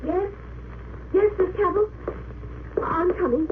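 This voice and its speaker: A woman playing a brisk hospital assistant in an old radio drama. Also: extremely high pitch. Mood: brisk, puzzled. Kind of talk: 1930s-40s radio drama acting. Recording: tinny, hissy old radio recording.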